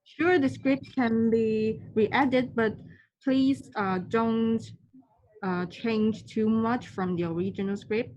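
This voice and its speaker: A woman moderating an online question session in a polite, formal, halting way over a slightly dull call connection. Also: fairly high pitch, fairly even intonation, darkish tone.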